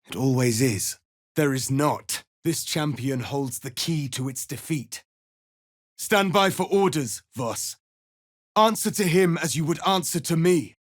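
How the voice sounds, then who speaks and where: A man playing a proud, stern alien warrior prince in a fantasy video game, speaking formally and gravely with commanding, measured delivery. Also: medium pitch, dry recording, clean studio sound, lightly acted. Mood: resolute, commanding. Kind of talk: dramatic fantasy game dialogue.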